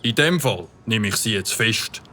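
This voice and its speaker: A man's voice: fairly low pitch, lively intonation, unhurried, sharp tone, exaggerated voice. A man with a Swiss German accent voicing a stern, officious village policeman in a children's audio drama. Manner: officious, commanding.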